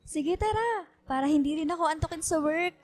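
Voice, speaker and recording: Sassy, high-pitched reluctant young single. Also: female voice, brisk, darkish tone. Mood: casual, teasing. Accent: Filipino. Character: reluctant, sassy young single woman, teasing her friend. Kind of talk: Filipino radio drama acting.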